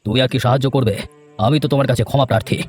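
A man voicing a dignified, righteous elderly village gentleman in a fairy tale cartoon, emphatic and given to repeating words. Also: medium pitch, steady tone, rapid-fire, slightly rough, soft background music, pitched below the performer's own voice, lightly acted. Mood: apologetic, grave.

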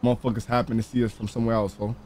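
A man's voice: medium pitch, level tone, racing, darkish tone, slight background noise. A man streaming a crime role-play game, chatting casually in slang and often repeating his phrases.

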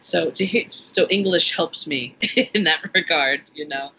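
A man's voice: a little high, unhurried, bright, forceful tone, breathy edge. A young man co-hosting a podcast, chatting casually and laughing often while rambling, over a headset video call at home. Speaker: podcast co-host. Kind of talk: casual chat. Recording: dull call audio with faint hiss.

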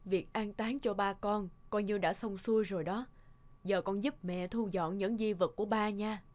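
A woman's voice: mid-range voice, steady tone, normal speed, darkish tone, natural voice. A woman voicing a grieving, devoted middle-aged mother in a cartoon, speaking with sorrowful tenderness. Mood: sad, tender. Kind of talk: cartoon dubbing.

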